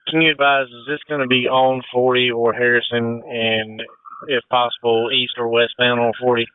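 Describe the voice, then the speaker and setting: A male fire officer speaks in a businesslike way over two-way radio. His voice is medium in pitch and clean, with a dry, muffled, band-limited sound. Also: level tone, moderate pace, clear, resonant tone, dry recording. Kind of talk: fireground radio traffic.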